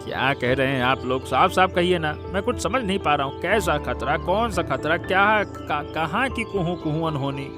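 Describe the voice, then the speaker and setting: A man playing a gruff, scornful village farmer, the grown son in a cartoon story, speaking curtly and sarcastically. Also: a little high, expressive, normal speed, few pauses, music in the background, close to an everyday voice. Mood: irritated, puzzled. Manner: curt, sarcastic, nagging. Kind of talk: Hindi moral cartoon story dialogue.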